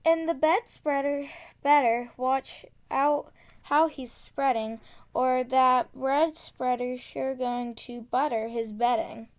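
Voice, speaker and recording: Woman, high-pitched voice, unhurried pace, reading rhymes aloud for children, deliberate articulation. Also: steady tone. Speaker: storybook reader. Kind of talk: read-aloud of a children's tongue-twister book.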